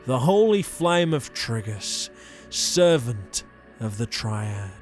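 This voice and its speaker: An actor playing a zealous, smug holy inquisitor in an audio drama, speaking in a pompous, deliberate, formal manner. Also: male voice, medium pitch, sing-song, faint music behind. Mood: smug, menacing. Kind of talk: dramatic audio roleplay acting.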